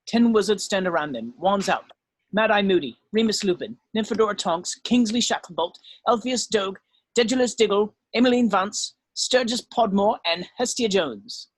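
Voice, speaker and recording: A man's voice: sits high, lively intonation, normal speed, no echo. A man narrating scripted stage directions at an amateur drama table read.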